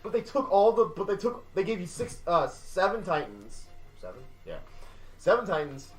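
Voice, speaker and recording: A man's voice: sits high, big pitch swings, moderate pace, soft background music. A man co-hosting a casual podcast, talking emphatically and often repeating himself. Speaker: podcast co-host. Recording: distant from the mic.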